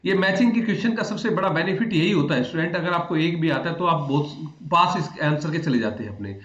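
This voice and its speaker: A man's voice: a little high, fast, fairly bright tone. A man teaching in a conversational lecture style, repeating phrases and addressing his students directly. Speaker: history teacher for exam aspirants. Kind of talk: explanatory online lecture in Hindi with English terms. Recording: echoey room, dull muffled audio.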